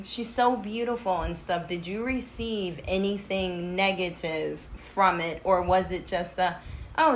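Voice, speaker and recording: Bright animated young woman. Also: medium pitch, slight background noise. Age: young adult. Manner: animated and emphatic. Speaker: daughter. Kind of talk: casual conversation.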